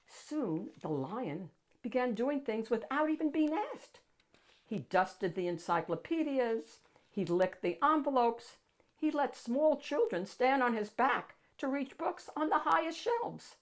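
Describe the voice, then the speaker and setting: A woman reading a picture book aloud to children in an animated, expressive storytelling style with dramatic emphasis. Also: sits high, a little slow.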